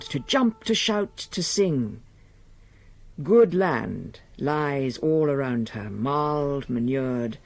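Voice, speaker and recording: Narrator for rural period drama. Lyrical, fairly high voice, music underneath. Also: male voice, dry recording. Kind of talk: scripted radio drama narration.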